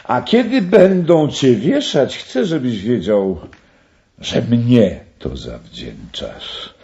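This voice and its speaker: A wildly swooping, deranged gloating killer. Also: male voice, mid-range voice, big pitch swings, mellow, lo-fi sound. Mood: manic boasting. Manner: theatrical, drawn-out, erratic with giggly bursts. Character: deranged, gloating male murderer, obsessed with knives. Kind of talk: radio play acting.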